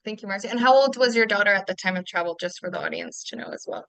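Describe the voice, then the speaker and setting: Bright, upbeat woman hosting a webinar. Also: medium pitch, quick pace, gravelly, dry recording. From Canada. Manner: upbeat, many fillers. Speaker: webinar host.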